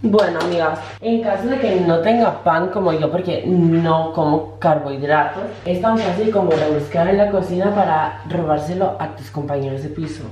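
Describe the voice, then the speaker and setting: A woman's voice: lowish voice, moderate pace, hardly any pauses, darkish tone, roomy sound, a little hiss or hum. A young woman in her twenties filming an ironic, self-mocking parody vlog on a handheld camera at arm's length in her small apartment. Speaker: vlogger. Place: small apartment kitchen and bedroom.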